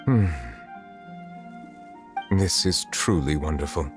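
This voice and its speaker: An actor voicing a composed, dignified chief justice in a game, speaking formally and precisely. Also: male voice, extremely low pitch, expressive, over loud music, exaggerated voice. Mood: calm, reserved. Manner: formal, measured, precise speech. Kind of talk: game voice acting.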